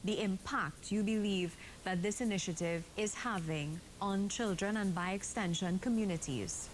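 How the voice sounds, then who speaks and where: A woman's voice: mid-range voice, moderate pace. A woman hosting a morning broadcast interview. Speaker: morning show host. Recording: clear background noise.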